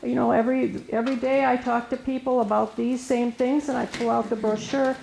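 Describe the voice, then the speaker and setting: A soft-spoken woman with a gravelly, mellow voice presents to a room as a resource specialist. She talks hesitantly, full of fillers and restarts, and the room microphone catches a roomy echo. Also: fairly high pitch, level tone, a little fast, slight background noise. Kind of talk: informal presentation to an audience. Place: meeting room with an audience. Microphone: room microphone recorded for camera.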